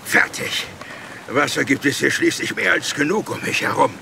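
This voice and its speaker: An actor playing a hapless, sneaky, dim-witted cartoon villain who whines and exclaims to himself in a children's audio drama. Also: male voice, fairly high pitch, expressive, moderate pace, gravelly, background noise. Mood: grumbling, busy. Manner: exclaiming, talking to himself, whining.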